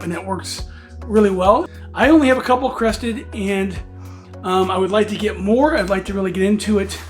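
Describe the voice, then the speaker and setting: Hoarse host in his forties, raspy and rather high, loose casual delivery full of fillers and restarts. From United States. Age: 40s. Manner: rambling with many fillers and restarts. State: hoarse from allergies. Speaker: reptile channel host. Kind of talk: casual vlog talk.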